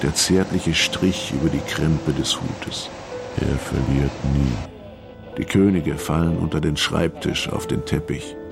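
A man playing a somber storyteller in a noir radio play, reading poetic prose in a measured way over music. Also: very deep voice, creaky, heavily acted. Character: somber male storyteller of a noir crime tale. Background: music behind the voice.

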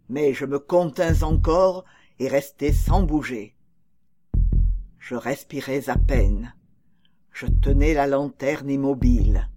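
A man playing a nervous, obsessed murderer confessing in a theatrical, halting and deliberate literary narration. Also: mid-range voice, darkish tone, natural voice. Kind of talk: dramatic literary audiobook narration. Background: music under the voice.

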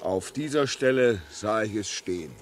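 An actor playing a haunted, despairing railway signalman in a halting, brooding manner, in a 1950s German radio drama. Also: on the low side, animated, noisy background, close to an everyday voice. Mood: haunted insistence. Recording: early 1950s radio play recording.

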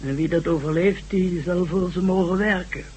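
A man acting in a radio drama as an earnest, learned professor who lectures deliberately and emphatically. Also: high voice, steady tone, darkish tone, close to an everyday voice. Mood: grave, emphatic. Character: earnest learned male professor explaining a doomsday threat. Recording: noisy hissy old radio drama recording.

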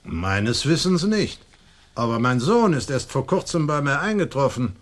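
An actor in a German radio play, playing a proud, domineering old colonial nobleman and stern father with a husky voice and an imperious, emphatic delivery. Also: mid-range voice, sing-song, unhurried. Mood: composed. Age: older.

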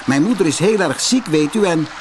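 A man's voice: fairly high pitch, expressive, a little fast, a little hiss or hum, voice pushed up, close to an everyday voice. A man voicing a polite, worried young boy on a storybook quest, sounding anxious. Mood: pleading.

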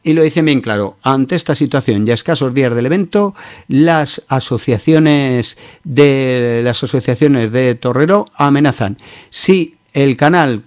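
This is a man with a Peninsular Spanish accent and a medium-pitched voice. He presents news commentary as a radio host, informally and with clear opinions. His phrasing halts and regroups as he goes, and the sound is dry, with little room around it. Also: dry recording.

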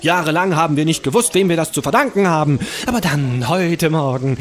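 An actor playing an eager, servile cannibal interpreter in a comedic audio drama, with a theatrical, fawning manner. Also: male voice, a little high, fairly quick, lightly acted. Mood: accusing, obsequious. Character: eager, servile male office cannibal interpreter, comically devout.

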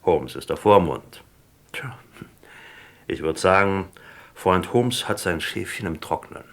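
A shrewd, low-voiced detective. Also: male voice, expressive, slow, fairly bright tone, full character voice. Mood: sly, needling. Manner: probing, slyly casual questioning. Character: shrewd, unhurried male American detective questioning suspects. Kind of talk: German radio crime drama acting.

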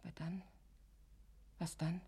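An actress in a German radio drama playing a tormented, guilt-ridden wife who broods on death in a breathy, often whispering voice. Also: female voice, fairly low pitch, flat delivery, takes it slowly, dark tone, heavily acted. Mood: resigned, brooding. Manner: brooding, haunted, repeating phrases.

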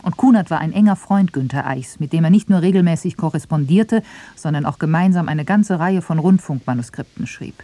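Lowish, mellow female documentary narrator. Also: brisk, no room sound. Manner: matter-of-fact, clearly phrased. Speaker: radio feature narrator.

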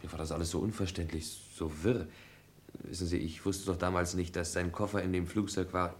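An actor playing an earnest, hesitant young witness in German radio crime drama, polite and halting. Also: male voice, low-pitched, fairly even intonation, slight background noise, natural voice.

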